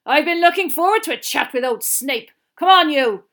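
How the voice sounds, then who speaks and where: Storybook role, female reader: fierce old male professor, gravelly, growling, menacing, blunt delivery. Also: high-pitched, expressive, normal speed, fairly bright tone, voice pushed up.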